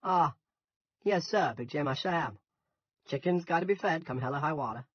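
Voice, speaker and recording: Man putting on a loud Yankee chicken farmer. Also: mid-range voice, lively intonation, normal speed, husky, dry recording, lo-fi sound, pitched above the performer's own voice. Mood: respectful. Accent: New England Yankee. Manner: loud, barking, folksy. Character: barking, gruff but deferential Yankee chicken farmer, a father.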